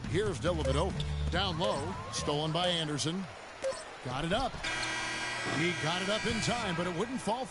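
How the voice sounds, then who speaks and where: A man voicing a play-by-play announcer in a basketball video game, calling the action briskly. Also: high voice, natural voice. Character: male play-by-play sportscaster, brisk. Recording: game audio with loud music behind.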